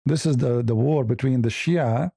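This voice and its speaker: A man's voice: mid-range voice, moderate pace, creaky, dark tone, slightly rough, no echo, studio quality. A man hosting a live news commentary stream, blunt and opinionated, rambling with hesitant fillers and speaking English as a second language. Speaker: host.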